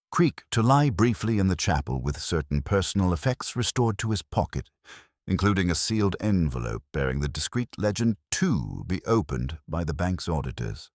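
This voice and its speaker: A man narrating a mystery audiobook from a script in a measured, formal storytelling manner. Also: deep voice, very animated, dry recording, studio quality.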